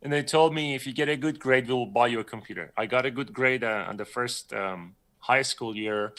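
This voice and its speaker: Guy from iran on a live stream, bright voice, rambles n corrects himself a lot. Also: mid-range voice, brisk. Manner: rambling, self-correcting storyteller, laughs often. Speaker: guest artist. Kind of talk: casual livestream chat.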